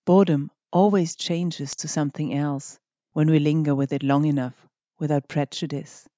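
A meditation guide with a mellow, relaxed voice leads the listener gently through each instruction. The delivery is measured, with deliberate pauses between short phrases. The recording is close and dry, slightly dull in tone. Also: mid-range voice, dry recording.

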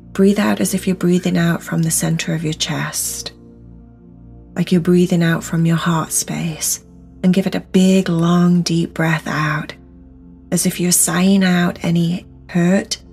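Slow, low-voiced woman guiding a meditation. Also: creaky, darkish tone. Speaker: meditation guide. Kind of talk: guided meditation, instructional. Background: music.

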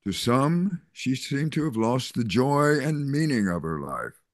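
A man's voice: medium pitch, very animated, a little slow, no echo, studio quality. An older man reading the part of a kindly small-town pastor at a funeral over a video call. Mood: solemn. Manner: gentle, patient, mildly scolding. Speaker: Reverend Matthew. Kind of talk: zoom radio-play reading.

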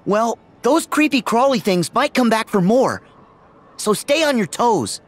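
A man's voice: very high-pitched, sing-song, a little slow, heavily acted. A man voicing an earnest, upbeat teenage boy hero in a video game. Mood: upbeat, cautioning. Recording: music under the dialogue.

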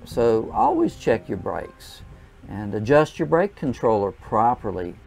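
A man's voice: lowish voice, very animated, creaky, a little mellow. An older man, an RV travel vlogger, giving plain, folksy how-to tips to camera from inside a camping trailer on a lapel mic. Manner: plain, folksy, unhurried with frequent "uh" fillers. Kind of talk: casual how-to talk to camera. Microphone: clip-on lapel mic.

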